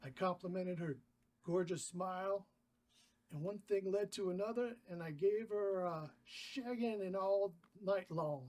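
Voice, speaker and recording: Cheeky, lecherous puppet sidekick, played by a man, notably high male voice, cocky and crude, comic horror script. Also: a little slow, darkish tone. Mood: boastful. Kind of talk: comic table-read acting.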